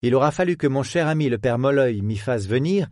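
A man voicing a polite, witty male detective who speaks in a measured, courteous way. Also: medium pitch, lively intonation, a little mellow, no echo, studio quality, close to an everyday voice.

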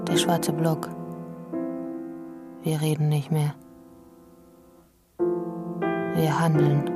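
Slow, biting, defiant activist. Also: female voice, low-pitched. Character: bitter, defiant female activist journalist. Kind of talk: radio drama monologue. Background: piano and keyboard music.

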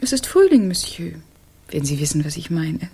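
An actress in a German radio crime drama playing a coy, soothing housemaid, deferential and secretive, with a husky voice. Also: female voice, fairly low pitch, big pitch swings, moderate pace, mellow, exaggerated voice. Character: coy, soothing, protective housemaid in 1917 Paris. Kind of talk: German radio play acting.

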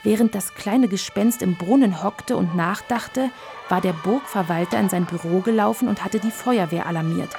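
A woman tells a children's story as narrator, measured and clearly articulated. Her medium-pitched voice has a creaky edge, with music playing behind it. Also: a little mellow.

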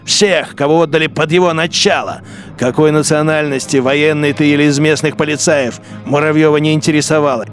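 A man narrating a radio drama in a bitter, measured inner-monologue voice. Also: a little high, fairly even intonation, faint music behind.

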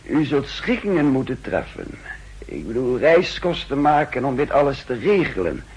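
Calculating spy chief, very husky, slow and confidential, swinging intonation, old radio play. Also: male voice, medium pitch, sing-song, a little mellow, rough recording. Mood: earnest, confiding. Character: secretive, calculating male intelligence official in a spy intrigue. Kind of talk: 1960s Dutch radio drama acting. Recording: old 1960s radio drama recording.

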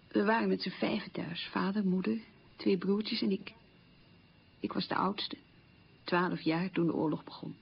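Husky, creaky-voiced middle-aged wartime survivor. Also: female voice, mid-range voice, full character voice. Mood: matter-of-fact, insistent. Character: middle-aged woman bitterly recalling wartime hunger. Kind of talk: radio drama monologue, testimonial recollection. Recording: dull, muffled old radio recording.

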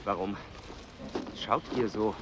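An actor playing a proud, fussy scientist explorer in a radio play, speaking formally and with precision. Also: male voice, fairly low pitch, steady tone, takes it slowly, background noise. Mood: impatient.

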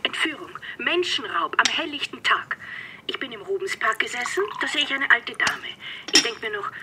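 Actress as an insistent, high-voiced female eyewitness. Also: animated, moderate pace, very noisy, natural voice. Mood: indignant, urgent. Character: indignant, insistent female witness reporting an abduction. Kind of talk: radio drama acting.

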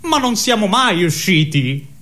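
A man playing an odd, earnest male owl in an absurdist radio comedy sketch, deadpan and stretching out his words. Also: sits high, a little slow, heavily acted. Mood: stubborn, deadpan. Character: odd male owl, earnest, literal-minded, uneasy seducer.

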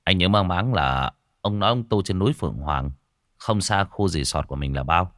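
Man as relaxed older boss, lowish voice, familiar, reassuring, audiobook character. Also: moderate pace, no echo, clean studio sound. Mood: calm, reassuring. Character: easygoing, reassuring older male colleague and boss.

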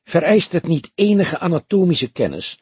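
A man reads as a young police detective in a crime audiobook. He is earnest, inquisitive and lightly teasing, with a very husky tone and lively pitch. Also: normal speed, dry recording, heavily acted. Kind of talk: acted dialogue in an audiobook.

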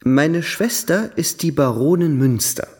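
An actor playing a charming, worldly young European gentleman in a radio drama, polite, effusive and playfully theatrical. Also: fairly high pitch, lively intonation, a little slow, slightly rough. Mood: confiding, amused.

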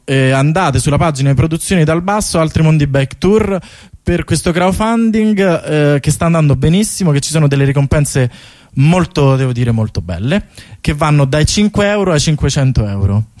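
A man's voice: on the high side, animated, normal speed, darkish tone, dry recording. A man talking informally and colloquially as a guest in a radio studio, explaining things in a chatty way. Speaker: radio guest, artist presenting a bike tour project. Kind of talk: animated explanation in casual radio interview.

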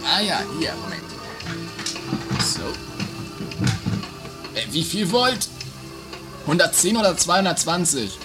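Swooping, melodramatic vengeful schemer. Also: male voice, sits high, big pitch swings, slow pace, pauses often, exaggerated voice. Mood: gloating. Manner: declamatory, swooping, exaggerated. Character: melodramatic, vengeful schemer, gloating and easily outraged. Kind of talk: improvised trash comedy radio play. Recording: loud music under the voice. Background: music.

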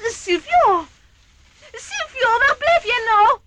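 Timid French girl, very high-pitched. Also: very animated, slow, pushed and bright, dry recording, exaggerated voice. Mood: anxious. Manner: fretful, exclaiming. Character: timid, squeamish young French girl, easily frightened. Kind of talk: radio play acting.

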